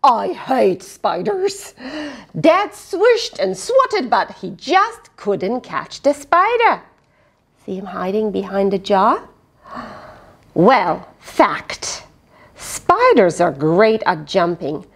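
A middle-aged woman reading a picture book aloud to children, expressive and teacherly, with questioning asides. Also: mid-range voice, slow pace. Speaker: children's storyteller, host. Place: small indoor fabric tent with cushions.

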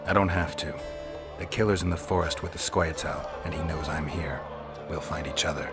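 Gruff low voiced monster hunter, deadpan, game dialogue over music. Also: male voice, normal speed. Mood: matter-of-fact. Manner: terse, deadpan. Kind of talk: video game character acting.

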